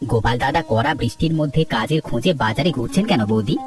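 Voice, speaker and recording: An earnest young village man from a Bengali cartoon, acted by a man, speaks respectfully and deliberately in a mid-pitched voice. He sounds a little away from the mic, with music underneath. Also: lively intonation, recorded from a distance, close to an everyday voice. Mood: concerned, respectful. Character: earnest helpful young village man, hatching business plans.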